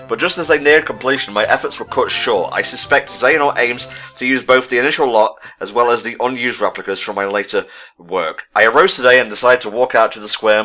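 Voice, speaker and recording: A man's voice: mid-range voice, sharp tone. A man doing joking game commentary with dramatic readings, in a sarcastic, profane manner. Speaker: playthrough commentator.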